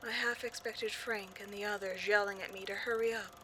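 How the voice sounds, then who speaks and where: Woman acting bright-voiced, melodramatic petty lady ghost. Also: medium pitch, steady tone, fairly quick, slight background noise, close to an everyday voice, low-quality recording. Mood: hushed, brooding. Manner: theatrical, mock-sinister, easily flustered and exasperated. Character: petty, melodramatic female ghost haunting an old horror film. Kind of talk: scripted comedic audio roleplay, addressed to the listener.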